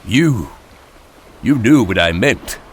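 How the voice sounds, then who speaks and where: A man's voice: on the low side, expressive, takes it slowly, slight background noise. A man playing a cocky, brash soldier leading his squad in a game cartoon, swaggering and punchy, with music behind. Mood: impatient.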